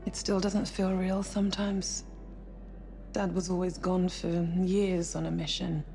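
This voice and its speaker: A young female astronaut in a sci-fi game, confident but carrying quiet grief. Her voice sits fairly low, with soft, understated, natural delivery. Music plays clearly behind her. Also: flat delivery, a little slow. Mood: wistful. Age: young adult. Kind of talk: naturalistic cinematic game dialogue.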